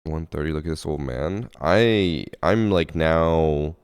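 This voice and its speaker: A man's voice: a bass voice, slow, clean tone, no echo, studio quality. A man streaming games from home and chatting casually with his chat, sounding sniffly and congested after a late night, with a laid-back, self-mocking way of talking. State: sniffly, congested from lack of sleep. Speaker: game streamer. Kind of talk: casual live-stream chat, reading chat aloud.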